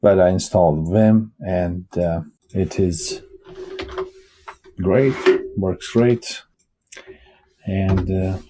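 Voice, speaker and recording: Slow, deep-voiced man, Bosnian native. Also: fairly even intonation, dark tone, slight background noise. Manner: unhurried, rambling, many pauses and fillers. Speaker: vlogger. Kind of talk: casual screen-recorded desktop talk.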